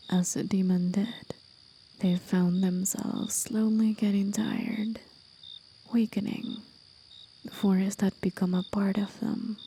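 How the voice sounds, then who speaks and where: A gentle, teasing demon played by a woman tells an old tale in a soft whisper for an ASMR roleplay. The delivery is very slow and even, with a wistful, breathy hush. Faint cricket and insect ambience sits behind the voice. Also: mid-range voice, a little hiss or hum. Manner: slow, hushed, measured storyteller. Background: crickets and night insects.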